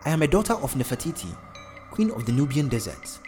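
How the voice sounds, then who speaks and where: A man's voice: mid-range voice, very animated, fast talker, darkish tone, soft background music, lightly acted. Submissive spirit woman played by a man. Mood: fearful, pleading.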